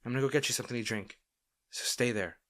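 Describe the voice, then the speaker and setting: A man playing a caring boyfriend in an intimate audio roleplay, talking tenderly and with gentle insistence to his partner. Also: medium pitch, fast talker, natural voice. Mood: gently firm. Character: caring, gently firm young boyfriend soothing an overworked partner.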